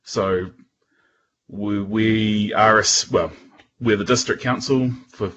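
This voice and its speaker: A man with a New Zealand accent giving an informal technical presentation in a casual, halting way. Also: lowish voice, fairly bright tone, dull audio, slight background noise. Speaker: guest presenter at a tech user group.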